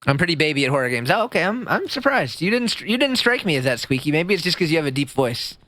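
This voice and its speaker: Squeaky guy streamer rambling to chat, sharp bright tone, dry close mic. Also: fast, no echo. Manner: rambling and jokey, voice jumping up high when excited. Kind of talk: casual livestream chat reading chat.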